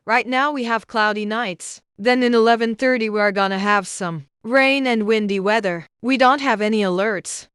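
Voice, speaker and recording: A woman reads a scripted weather radio bulletin as the channel's announcer. Her voice is bright and crisp. It sounds dry and close, without any room echo. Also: on the high side, moderate pace, few pauses.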